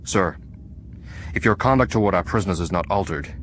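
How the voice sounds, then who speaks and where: An actor playing a dignified, stern American statesman in a radio drama, reading out formal letters in a grave, measured manner. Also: low voice, a bit bright, a little hiss or hum. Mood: stern, warning.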